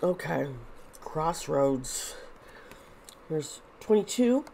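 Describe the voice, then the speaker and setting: Woman, tarot reader, stuffy nose, losing her voice, low-ish pitch, very slow, rambling restarts. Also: expressive, gravelly, mellow, slight background noise. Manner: rambling with many restarts and fillers. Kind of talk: casual tarot reading to camera.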